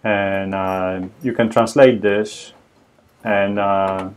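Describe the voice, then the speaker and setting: A man with a Polish accent, presenting a live coding workshop, talking haltingly with many fillers and restarts. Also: on the low side, flat delivery, clean tone. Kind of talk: live coding demo lecture.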